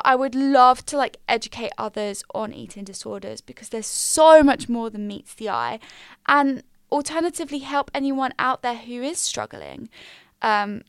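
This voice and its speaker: A woman of twenty, hosting a casual solo podcast in a small quiet room, candid and upbeat with slangy asides. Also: fairly high pitch, clean tone, no echo. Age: 20s. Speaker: podcast host. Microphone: studio mic.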